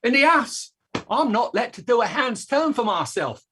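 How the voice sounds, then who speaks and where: An actor playing an aggrieved old dustman with a raspy voice, declaiming his complaints in a staged reading. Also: very high-pitched, very animated, normal speed, sharp tone, a little hiss or hum, heavily acted. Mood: indignant. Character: blustering, aggrieved old working-class English dustman.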